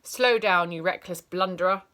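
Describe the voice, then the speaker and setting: A woman's voice: on the low side, normal speed. A woman playing a furious old schoolmistress. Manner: shouting, spiteful, barking orders.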